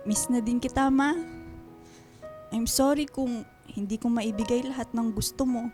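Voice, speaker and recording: A woman acting a high-voiced, earnest grieving elder sister. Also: steady tone, moderate pace, warm tone, faint music behind, lightly acted. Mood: sorrowful, apologetic. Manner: pleading, earnest. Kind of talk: radio drama acting.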